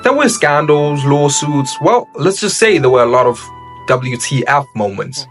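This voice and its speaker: A man's voice: a little high, lively intonation, a little slow, soft background music. A man narrating a jokey documentary and putting questions to the guest. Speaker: narrator and interviewer.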